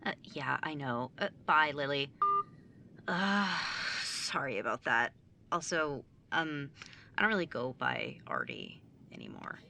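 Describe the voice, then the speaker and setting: Woman playing driven student sibling, animated intonation, businesslike, high-strung, audio drama, faint background noise. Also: vocal fry, exaggerated voice. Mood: hurried. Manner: brisk, businesslike, easily exasperated.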